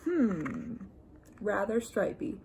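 A young adult woman reading a picture book aloud to young children, expressive and deliberate, with playful asides. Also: medium pitch, creaky, slight background noise. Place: small room indoors.

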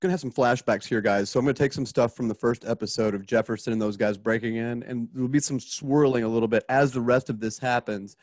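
Male narrator-director for live radio drama, mid-pitched, informal, talking through sound cues in a dry close recording. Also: fairly even intonation, fast, few pauses, a little mellow, no echo. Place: home, remote video call.

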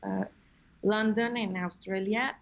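Gravelly woman with a Spanish accent. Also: mid-range voice, fairly even intonation. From Mexico. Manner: halting, many "like" fillers. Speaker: guest. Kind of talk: casual interview answers. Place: room at home. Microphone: video call. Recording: dull lo-fi video call.

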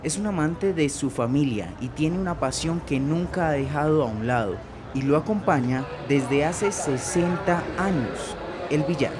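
A man's voice: a little high, a little slow, noisy background. A man reading a scripted news feature narration. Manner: steady storytelling read. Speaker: student reporter. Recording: background music.

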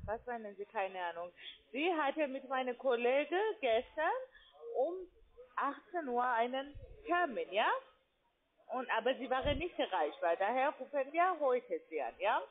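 Insistent high-voiced woman on the phone. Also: very animated, measured pace. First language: not German. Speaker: call centre sales agent. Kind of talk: phone sales pitch. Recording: tinny phone line.

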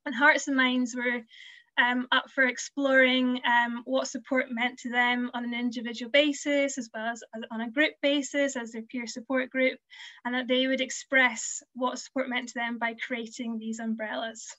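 A woman's voice: a little high, level tone, moderate pace, bright, forceful tone. A young woman giving a conference presentation, earnest and explanatory with frequent ums, her voice a little worn. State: losing her voice. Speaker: museum community learning officer. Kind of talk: conference presentation over slides. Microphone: video call webcam. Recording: dull lo-fi video call audio.

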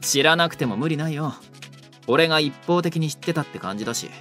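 A man's voice: on the high side, lively intonation, brisk, fairly bright tone, faint music behind. A man voicing a young delinquent who is defensive and easily flustered, in an audio drama. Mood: serious. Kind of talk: Japanese boys' audio drama.

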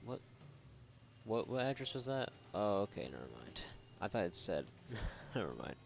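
Low, gravelly-voiced man doing sarcastic game commentary. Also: sing-song, normal speed, soft background music. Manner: sarcastic, rambling, profane. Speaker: let's play host. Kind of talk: casual gameplay commentary. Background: video game ambience.

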